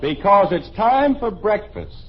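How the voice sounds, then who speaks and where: A man playing an impatient, wisecracking father and fishing buddy in an old-time radio comedy, with a husky voice. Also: high-pitched, very animated, unhurried, slight background noise. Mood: exasperated. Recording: muffled old radio recording.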